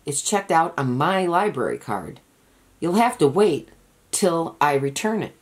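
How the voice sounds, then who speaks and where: A woman's voice: low voice, very animated, unhurried. A woman voicing a brave, stubborn little boy in a storybook, earnest and firm.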